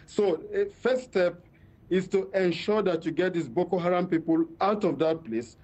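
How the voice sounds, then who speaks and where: A man's voice: high voice, normal speed, a little mellow. A man with a Nigerian accent, a journalist explaining in a live news interview, often repeating words as he searches for phrasing. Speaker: journalist reporting by phone. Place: remote phone call.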